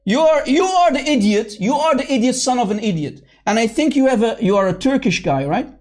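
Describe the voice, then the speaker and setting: Apologist host, man with a high voice, combative and scornful, plays to his online viewers from his computer. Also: animated, brisk, a little mellow. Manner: heated, scornful, calls opponents names; repeatedly addresses viewers as guys and brother. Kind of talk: combative online debate commentary.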